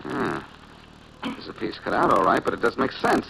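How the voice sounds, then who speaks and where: An actor playing a confident, wisecracking amateur detective who explains things breezily, in 1940s radio drama style. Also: male voice, deep voice, very animated, normal speed, creaky, exaggerated voice. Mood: intrigued. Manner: breezy, self-assured explaining. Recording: noisy vintage 1940s radio recording.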